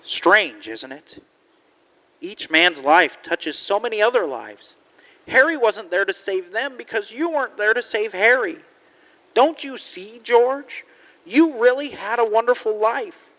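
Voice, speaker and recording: High, bright, bumbling guardian angel. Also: male voice, animated, unhurried, heavily acted. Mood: grave. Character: gentle, bumbling guardian angel, earnest and apologetic. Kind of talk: 1940s radio drama acting. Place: large church, performed live. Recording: live stage recording in a reverberant hall.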